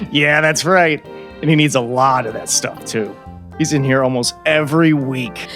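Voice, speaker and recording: A man's voice: on the high side, measured pace, fairly bright tone, faint music behind. A man playing a chatty, nosy small-town shopkeeper in an audio drama, with a folksy, overfriendly salesman's manner. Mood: gossipy. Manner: overly forthright, folksy, salesman-like.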